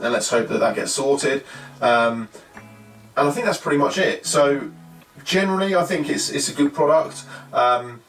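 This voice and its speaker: A British man presents an informal tech review in a mid-pitched voice. He thinks aloud in short, halting phrases. The sound is echoey and slightly distant, with music in the background. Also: a bit bright. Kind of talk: casual unscripted review talk. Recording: roomy echo, distant mic, faint music.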